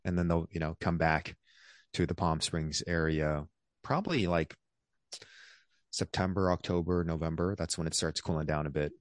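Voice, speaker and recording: Deep, easygoing man with a dry sound. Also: normal speed, no echo. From born in South Korea, raised across the United States. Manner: easygoing, rambling, many fillers. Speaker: guest, physician. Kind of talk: casual interview conversation.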